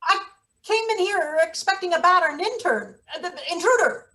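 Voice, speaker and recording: A woman's voice: very high-pitched, animated, moderate pace, sharp tone, heavily acted. A woman playing a brusque, scheming maintenance boss in a satirical stage play reading, barking and sarcastic. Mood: indignant. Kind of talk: live table read of a satirical stage play.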